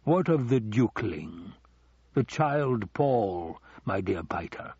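Audiobook villain, played by a man: scheming older nobleman, husky, slow, silkily taunting, dry recording. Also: fairly low pitch, very animated, a little mellow, no echo, highs cut off, full character voice. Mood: mock-affectionate. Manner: slow, drawn-out, taunting delivery.

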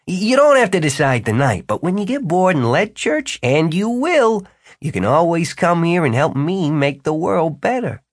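A man's voice: fairly high pitch, big pitch swings, moderate pace, dry recording, studio quality, pitched above the performer's own voice. A man voicing a showy, self-assured wizard ruler in an audiobook, with an emphatic, theatrical salesman's delivery. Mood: smug, persuasive. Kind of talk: audiobook character dialogue.

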